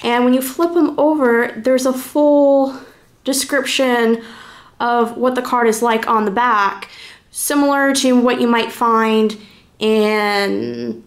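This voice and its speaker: A woman with a somewhat high-pitched voice presents a review in a casual vlog style. She speaks informally, working out her thoughts aloud as she goes along. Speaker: reviewer presenting a card deck. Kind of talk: casual first-impressions vlog chat.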